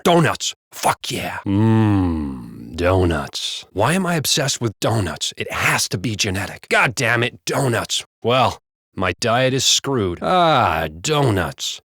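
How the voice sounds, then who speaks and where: American police officer, gruff, profane, colloquial, video game character lines, dry studio sound. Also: male voice, mid-range voice, fairly bright tone, no room sound. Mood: craving, delighted.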